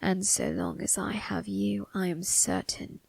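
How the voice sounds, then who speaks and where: A woman playing an eerie undead male undertaker in an ASMR roleplay, calmly menacing and obsessive, speaking in a breathy, creaky whisper with ominous, deliberate phrasing. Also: mid-range voice, dry recording, heavily acted. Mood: intent, coaxing.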